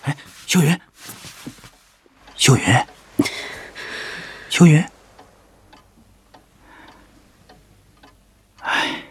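An actor playing a weary, dutiful young fisherman husband in a radio drama, husky and sighing as he reassures. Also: a little high, very slow, exaggerated voice. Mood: coaxing. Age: 20s. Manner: soothing, sighing, earnest.

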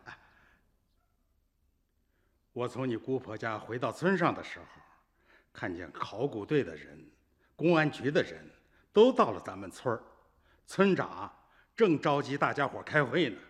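A lively, medium-pitched male voice with big swings in tone: a country man telling a story from memory in a radio play, conversational and plain-spoken. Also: a little slow, long pauses, long reverb tail. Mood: reminiscing. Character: rural man recounting village events from memory. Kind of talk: radio drama narration in dialogue.

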